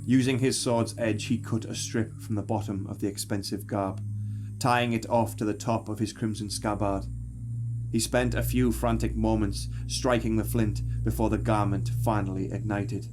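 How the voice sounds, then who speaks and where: A man narrating a scripted dark fantasy audio drama in a grave, measured storytelling manner. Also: on the low side, little pitch movement. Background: music.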